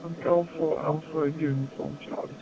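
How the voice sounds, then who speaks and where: A man from England, a radio amateur talking slowly with many ums over a radio contact. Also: on the high side, level tone, a crowd in the background. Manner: slow, hesitant, many ums. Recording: muffled noisy radio audio.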